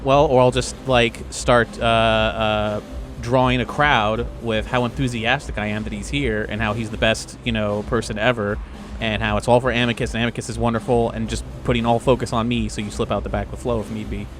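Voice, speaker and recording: A man's voice: on the low side, little pitch movement. Man gaming casually over prominent music. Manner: halting, thinking aloud. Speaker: tabletop game player. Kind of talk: casual in-character game chat.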